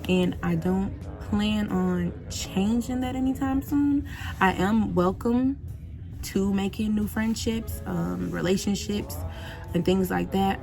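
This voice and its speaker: A woman's voice: fairly low pitch, creaky, darkish tone. A woman, talking casually and directly to her viewers in a reflective vlog. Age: 20s. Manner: chatty, direct address to viewers. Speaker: vlogger.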